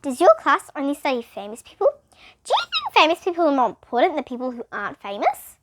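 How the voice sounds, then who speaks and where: Woman reading as a high-voiced, brusque lady schoolteacher. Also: wildly swooping pitch, quick pace, background noise. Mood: brisk, superior. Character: strict, brusque, ageless female schoolteacher.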